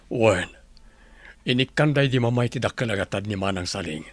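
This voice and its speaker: A man with a Filipino accent playing a gentle, earnest middle-aged husband and father in a sentimental audio drama, with a gravelly voice. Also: fairly low pitch, normal speed, dry recording, close to an everyday voice. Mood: consoling.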